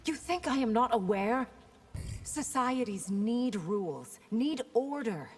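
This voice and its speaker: An actress playing a proud, compassionate warrior princess in a video game, speaking firmly and earnestly. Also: female voice, on the high side, animated, slow, husky, exaggerated voice. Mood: impassioned. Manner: earnest, firm. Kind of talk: video game fighter dialogue.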